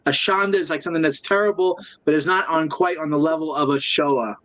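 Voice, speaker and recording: A man's voice: fairly high pitch, fairly quick, fairly bright tone, poor audio. A man in a casual chat, talking loosely and crudely, joking and trying to move things along.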